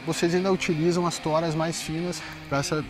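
A man's voice: fairly high pitch, level tone, a little fast, faint music behind, poor audio. A man with a Brazilian Portuguese accent hosting an on-site tour of a sawmill, asking leading questions in an upbeat way. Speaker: host. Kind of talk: on-site company tour interview. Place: outdoors at a sawmill.

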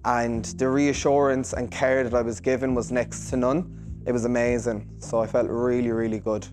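Young man, middle-pitched voice, earnest testimonial, lapel mic, faint music behind. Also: little pitch movement, moderate pace, darkish tone. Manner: earnest, reassuring, with some fillers and restarts. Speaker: hairdresser giving a clinic testimonial. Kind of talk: interview testimonial. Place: hair salon, indoors.

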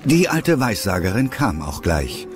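A male narrator reads a scripted story for an audio drama. His voice is fairly deep and very expressive, with soft music underneath. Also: a little slow.

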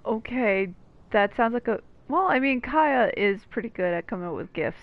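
Woman with gravelly, dry game commentary. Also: fairly high pitch, animated, moderate pace, muffled recording. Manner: dry, wry asides. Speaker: gameplay commentator.

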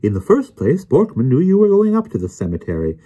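A man voicing a bouncy, arrogant know-it-all gentleman lecturing theatrically in an audiobook story. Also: mid-range voice, huge pitch swings, brisk, breathy edge, highs cut off, voice pushed up. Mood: smug, explaining. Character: smug, bouncy, know-it-all older gentleman explaining mysteries.

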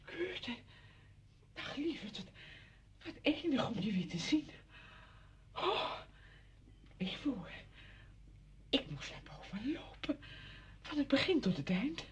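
A woman's voice: sits high, big pitch swings, slow, long pauses, slightly rough, in a whisper, exaggerated voice. An actress playing an effusive, chatty woman visitor who is out of breath in a radio drama. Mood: breathless, delighted. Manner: effusive, exclaiming. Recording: muffled, dull old radio play recording.

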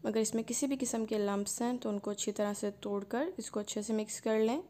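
A woman narrates a home cooking video in a calm, instructive voice. Her voice sits a little high, and she walks through each step patiently. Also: fast talker. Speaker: cooking channel host. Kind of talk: recipe voice-over narration.

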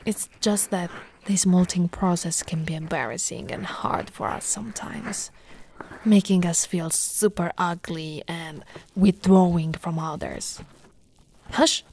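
A woman playing an affectionate, teasing lamia girlfriend in an ASMR roleplay, soft and cooing, often slipping into whispers. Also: on the low side, steady tone, unhurried, slight background noise. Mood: soothing, tender, then reassuring.

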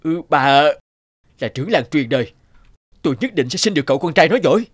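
An actor playing a stern, stubborn middle-aged village chief in a cartoon, gruff and commanding. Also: male voice, a little high, animated, quick pace, dry recording, studio quality. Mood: angry, shocked. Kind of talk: cartoon dub acting. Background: background music.

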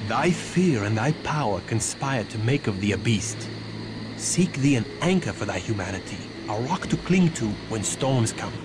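An actor playing a grave, stern medieval vampire warrior and mentor, speaking in solemn archaic phrases for a video game. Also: male voice, medium pitch, expressive, moderate pace, noisy background, close to an everyday voice. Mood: solemn counsel. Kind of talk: theatrical video game acting.

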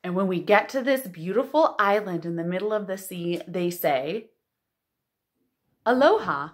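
A woman in her thirties hosting a storytime for young children, reading aloud and chatting in an expressive, exclamatory way. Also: mid-range voice, unhurried. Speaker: children's librarian and storytime host. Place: living room at home.

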